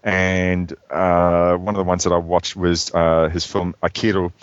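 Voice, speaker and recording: A man's voice: low voice, flat delivery, unhurried, smooth, no echo, lo-fi sound. A man chatting casually about films as a podcast co-host, rambling with many fillers and restarts. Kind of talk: casual film chat.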